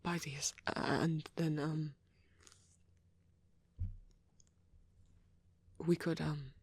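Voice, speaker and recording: Woman playing a low, husky, shy vampire boy. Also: little pitch movement, a little slow, no echo, full character voice. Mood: shy, nervous. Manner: hesitant, stammering, rambling. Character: shy, nervous young male vampire on a date. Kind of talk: soft-spoken ASMR roleplay.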